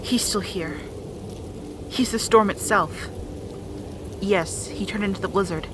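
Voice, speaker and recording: A woman's voice: medium pitch, a little slow, noisy background, natural voice. A woman playing an earnest, wounded young princess in a fantasy audio drama, speaking with pleading emotion. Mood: anxious, confessing.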